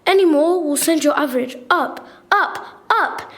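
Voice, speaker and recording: Girl playing a high-voiced snooty receptionist. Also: fairly bright tone. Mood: brisk, condescending. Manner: brisk, condescending. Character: snooty, harried receptionist of the internet building. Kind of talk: scripted radio play acting.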